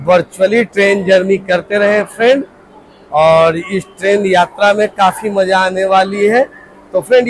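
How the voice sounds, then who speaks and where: A chatty man in his thirties walks viewers through a train, talking to them as friends with a slightly higher voice. His phone mic, held at arm's length, picks up a faint hum of station and carriage sound. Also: rough recording. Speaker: travel vlogger. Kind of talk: casual travel vlog tour. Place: train platform and train coach interior.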